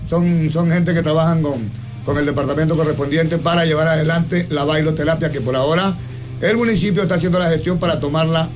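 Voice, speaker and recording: He is a man from the Ecuadorian coast by his accent, hosting radio in a high voice. His talk runs fast and colloquial, full of jokes and repeated phrases. Faint music plays underneath. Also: fairly even intonation. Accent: coastal Ecuadorian Spanish. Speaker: radio show host. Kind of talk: casual on-air banter.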